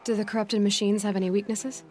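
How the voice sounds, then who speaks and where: A woman's voice: medium pitch, level tone, fast talker, a little mellow, natural voice. A woman playing a determined young hunter in a video game, speaking in a direct, matter-of-fact way. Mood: curious. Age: young adult. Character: determined, self-assured young female hunter. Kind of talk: dramatic video game dialogue.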